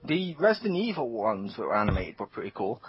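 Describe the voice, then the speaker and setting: A man with a very husky, breathy voice chats loosely about games on a podcast, his pitch bouncing around a lot as he laughs and restarts his sentences. The sound is dry and a little muffled, like a basic home setup. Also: no echo. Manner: animated, laughs often, quick restarts. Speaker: podcast co-host. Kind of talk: casual gaming chat.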